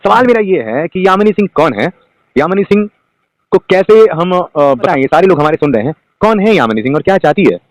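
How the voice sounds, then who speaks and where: A man's voice: high-pitched, expressive, fairly quick, dry recording. A man interviewing a guest, asking probing questions with hesitant fillers and pressing for answers.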